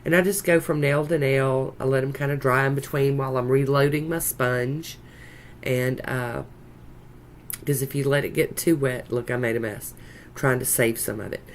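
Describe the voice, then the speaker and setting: A congested woman with a croaky voice and a Southern US accent, chatting casually in a folksy, rambling way as she narrates a nail art tutorial. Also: very deep voice, steady tone, moderate pace. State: congested with a sinus infection, sore throat. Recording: noticeable background noise.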